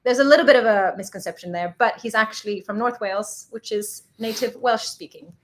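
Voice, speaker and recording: A woman's voice: medium pitch, fairly quick. Chatty woman from Sweden. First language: Swedish. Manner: chatty, laughs often, reads out viewer questions. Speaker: travel vlogger co-host. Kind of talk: casual live Q&A chat.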